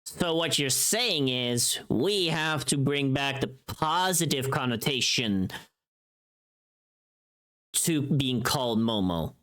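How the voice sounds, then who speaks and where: A woman's voice: very deep voice, lively intonation, slow pace, a bit bright. A woman livestreamer chatting casually with her viewers in a playful, teasing and bluntly informal way. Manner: playful, teasing, reacting to chat.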